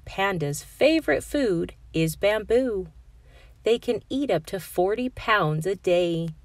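A woman storyteller narrating a picture book for young children. She takes her time, phrasing carefully with an animated, melodic delivery. The sound is close and dry. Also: mid-range voice, takes it slowly, no echo. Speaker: read-aloud storyteller.

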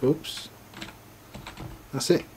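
A man giving an informal, unscripted step-by-step device demonstration, haltingly thinking aloud as he works through it. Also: mellow, a little hiss or hum. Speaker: product demonstrator.

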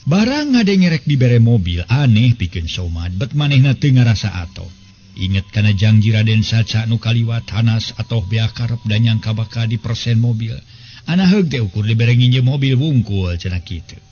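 A man's voice: mid-range voice, lively intonation, moderate pace, dark tone, husky. An elderly man, telling a serial tale in a conversational way. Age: 70s. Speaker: storyteller and show host. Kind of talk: narrated folk serial storytelling. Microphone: close desk microphone. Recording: slightly dull sound with faint noise.

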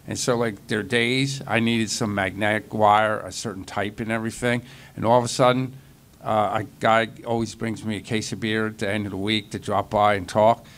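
A man's voice: on the low side, little pitch movement, moderate pace, a bit bright. A middle-aged man speaking eagerly at a conference panel table into a mic on a stand. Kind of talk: casual panel talk.

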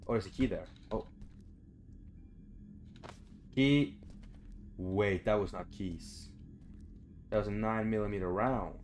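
A man's voice: lowish voice, slow pace, long pauses, a little mellow, a little hiss or hum. A young man giving casual, sweary let's play commentary, muttering to himself as he goes. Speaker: gaming video host. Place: small room indoors at home.

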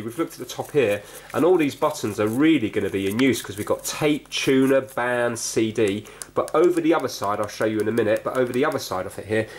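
Chatty British man over background noise. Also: on the low side. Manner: chatty, explanatory, thinking aloud. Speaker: repair vlog presenter. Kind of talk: casual hands-on repair commentary. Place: indoor room with wooden floor, working at floor level. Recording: clear background noise with clicks.

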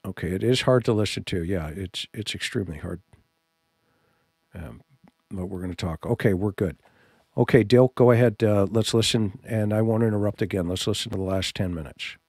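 Male livestream host, middle-aged, low register, dry close-miked sound, halting and soothing delivery. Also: a little mellow, no room sound. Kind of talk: live commentary to an audience. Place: small indoor home studio. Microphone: studio microphone on boom arm, close.

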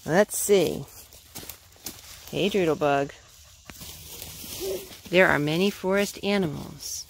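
A woman's voice: deep voice, slow. A woman, a mother reading a picture book outdoors and chatting to her young child in a child-directed way. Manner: sing-song, child-directed. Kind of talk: informal read-aloud and chat with a young child. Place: outdoors in a forest. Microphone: handheld camera held by the speaker. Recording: clearly noisy outdoor handheld recording.

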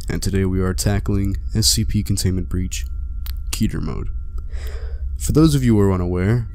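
A man reading a mock-dramatic scripted intro in a deadpan way. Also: deep voice, a little hiss or hum. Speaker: video narrator.

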